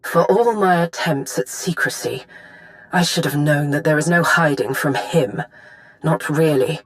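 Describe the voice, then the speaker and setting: A woman playing a solemn, secretive Sith lord in a video game monologue, speaking formally and gravely. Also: sits low, measured pace. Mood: grave, resolved.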